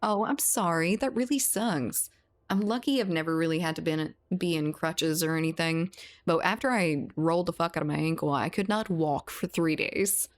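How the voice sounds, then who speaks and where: Woman game streamer, slightly low voice, chatty and laughing, close desk mic. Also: moderate pace. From South Louisiana, US. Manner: chatty, laughs often, reads and answers chat. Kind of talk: casual live-stream chat while gaming.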